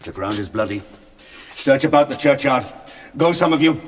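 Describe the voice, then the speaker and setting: A man playing a brisk, commanding chief of the night watch in classical Shakespearean radio drama, barking orders. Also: medium pitch, lively intonation, close to an everyday voice. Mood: urgent command. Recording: distant, long reverb, faint noise.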